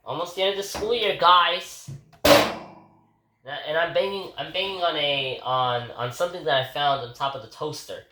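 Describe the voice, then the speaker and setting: A congested man rambles through a casual home vlog, forever turning to address his viewers. His voice is bright and forward. He sits away from the mic in an echoey kitchen. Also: fairly high pitch, moderate pace, a little hiss or hum. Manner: rambling, keeps calling out to "guys". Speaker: vlogger giving a daily reflection. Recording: roomy, distant kitchen recording.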